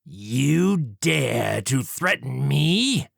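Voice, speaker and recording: A man voicing an arrogant, sneering gentleman surgeon who is a murderer, in an audiobook story, with a haughty, drawn-out delivery. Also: medium pitch, big pitch swings, creaky, no echo, clean studio sound, voice pushed up, heavily acted. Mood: threatening.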